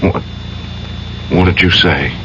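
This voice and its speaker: An actor playing an earnest, uneasy young lawyer in a 1970s radio drama. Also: male voice, deep voice, takes it slowly, sharp tone, heavily acted. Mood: shocked, indignant. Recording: muffled, noisy old radio recording.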